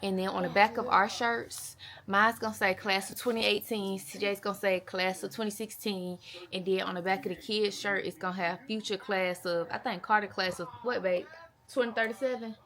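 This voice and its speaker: A woman in her twenties chatting casually to camera as a vlogger, with a playful, animated and slangy way of talking. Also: mid-range voice, normal speed. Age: 20s. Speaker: vlogger, mother.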